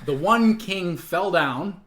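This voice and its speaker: A man's voice: high-pitched, lively intonation, takes it slowly, a bit bright. A young man co-hosting a podcast in casual, conversational chat.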